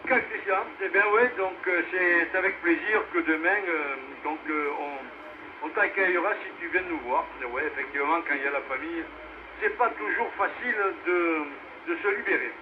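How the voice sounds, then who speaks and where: A man casually hosting an amateur radio net as its controller, often pausing to think, heard over a radio relay. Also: on the high side. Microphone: two-way radio transmission. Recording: muffled, noisy VHF amateur radio relay.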